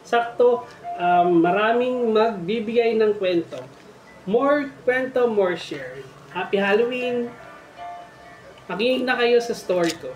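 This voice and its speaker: A man's voice: sits high, animated, slow, roomy sound, highs cut off. A man hosting a story show, reading listeners' horror tales aloud. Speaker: storytelling host. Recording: music bed behind the voice. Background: faint music.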